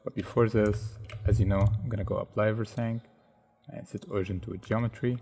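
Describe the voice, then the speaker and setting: A man narrating a software modeling tutorial in non-native English phrasing, unhurried and thinking aloud step by step. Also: lowish voice, steady tone, slightly rough.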